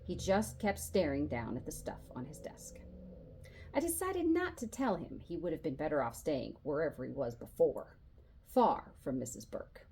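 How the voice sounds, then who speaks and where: A woman reading a children's novel aloud as an expressive storyteller, narrating in the voice of a boy and acting out the dialogue. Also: sits low, moderate pace, gravelly, slight background noise. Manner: animated, acts out dialogue.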